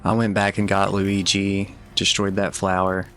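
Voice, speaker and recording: A man giving casual, matter-of-fact video game walkthrough commentary in a low-key instructional way. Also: completely flat delivery, unhurried, a bit bright, a little hiss or hum. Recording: voice over game music. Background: video game music.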